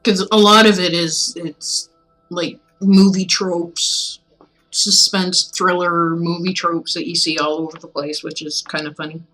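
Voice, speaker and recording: A woman's voice: lowish voice, level tone, measured pace, fairly bright tone, faint music behind, rough recording. A woman chatting casually as a livestream host, rambling and musing aloud in an informal way. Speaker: streamer reading stories aloud.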